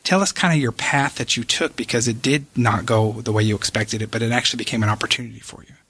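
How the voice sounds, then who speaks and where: A man's voice: medium pitch, fast, fairly bright tone, slightly rough. A middle-aged American man hosting a casual interview, rambling a little with stop-start phrasing. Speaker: podcast host.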